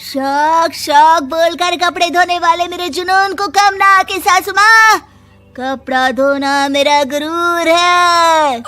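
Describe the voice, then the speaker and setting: Young cartoon bride voiced by a woman: very high, sharp, melodramatic, full of complaint, light music behind. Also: slow pace, exaggerated voice. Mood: outraged. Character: dramatic young bride obsessed with washing clothes. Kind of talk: animated moral story dialogue.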